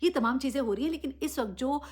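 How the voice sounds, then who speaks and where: A woman's voice: high-pitched, fast, slightly rough. A woman giving unscripted news commentary, addressing listeners directly and emphatically with rhetorical questions. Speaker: vlogger presenting news commentary.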